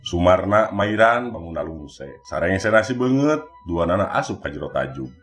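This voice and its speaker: A man's voice: low voice, big pitch swings, moderate pace, fairly bright tone. A man with a Sundanese accent, narrating a scripted mystery folktale as a storyteller. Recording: music bed behind the voice. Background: background music.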